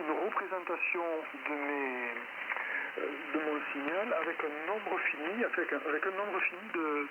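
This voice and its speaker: A man giving an improvised technical lecture as an amateur radio operator, explaining didactically in a halting, self-correcting way over a shortwave radio link. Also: a little high. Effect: shortwave single-sideband radio transmission. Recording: narrow-band, very noisy shortwave radio link. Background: loud radio static noise.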